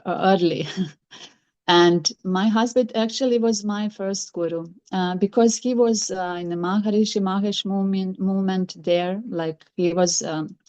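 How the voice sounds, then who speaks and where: A woman's voice: fairly low pitch, clean tone, muffled recording. Hesitant Serbian-accented woman. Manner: hesitant, many fillers, halting non-native grammar. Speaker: podcast guest. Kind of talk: casual interview chat.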